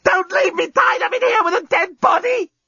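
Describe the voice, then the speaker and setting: A man voicing a frantic, indignant businesswoman in an audiobook story, high and breathy with stammered, insistent delivery. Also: lively intonation, moderate pace, a bit bright, no echo, muffled recording, full character voice. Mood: panicked. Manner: high, breathy, shrieking when alarmed, stammering questions. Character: frantic, indignant businesswoman, panicky yet stubbornly questioning.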